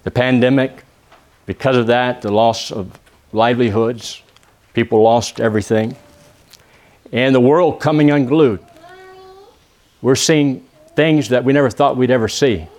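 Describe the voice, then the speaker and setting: A man from Texas with a Texan accent, preaching a church sermon and praying, often pausing with "uh" and asking the congregation for an "amen". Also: medium pitch, a little mellow. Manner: peppers phrases with "amen?" asking the congregation to answer; halting, with many "uh" pauses and restarts. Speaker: visiting preacher.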